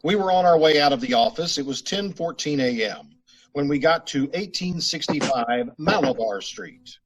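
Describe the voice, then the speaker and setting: A man's voice: a little high, animated, normal speed, noisy background, natural voice. A man playing a terse, matter-of-fact police detective sergeant in an old-time radio drama, in short clipped sentences.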